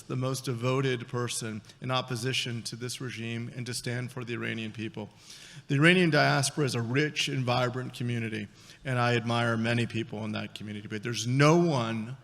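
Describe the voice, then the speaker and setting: A man's voice: mid-range voice, gravelly, a bit bright. A middle-aged man giving an earnest, emphatic introduction at a conference lectern. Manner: emphatic, earnest, repeats words. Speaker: event introducer. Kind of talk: formal speech introduction. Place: large conference hall stage. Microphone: lectern microphone. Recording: hall reverb.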